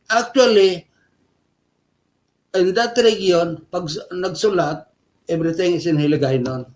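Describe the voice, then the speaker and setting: A man from the Western Visayas, a radio guest explaining things in a halting way with many pauses and fillers. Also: high-pitched, darkish tone. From Western Visayas, Philippines.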